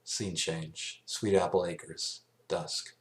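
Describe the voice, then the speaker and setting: A man with a low voice reads a story aloud. It is scripted amateur narration. He sometimes trips over words as he goes. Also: fairly even intonation, slow. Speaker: amateur fan fiction narrator.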